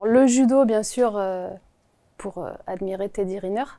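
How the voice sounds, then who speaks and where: A woman in her forties from the Paris region answers interview questions. She hesitates often and fills the pauses. Her voice is mellow and warm, recorded close and dry. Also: medium pitch, no echo. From Paris region, France. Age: 40s. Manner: hesitant, many filler pauses. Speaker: postal worker, interview guest.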